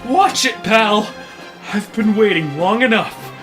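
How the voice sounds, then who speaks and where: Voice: mid-range voice, lively intonation, slow pace, a bit bright. Demanding, hungry cartoon troll. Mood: impatient, angry. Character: hungry, demanding, gruff pizza troll, impatient. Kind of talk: fan audio drama acting. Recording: music behind the voice.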